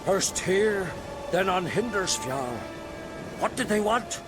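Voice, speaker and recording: An actor playing a pompous, indignant older druid scholar in a video game, with a theatrical, scolding manner. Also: male voice, high-pitched, expressive, takes it slowly. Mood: curious, grave. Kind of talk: video game cutscene acting. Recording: music and effects behind.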